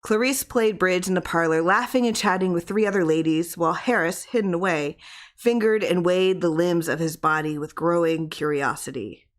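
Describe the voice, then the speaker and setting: A woman reading a literary horror story aloud in expressive audiobook narration. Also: fairly low pitch, normal speed. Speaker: audiobook reader.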